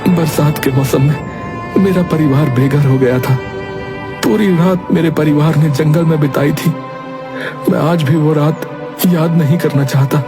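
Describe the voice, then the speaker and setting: A man voicing a calm, earnest shopkeeper and father who soothes others and pleads when wronged. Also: fairly high pitch, unhurried, close to an everyday voice. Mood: sorrowful. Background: background music.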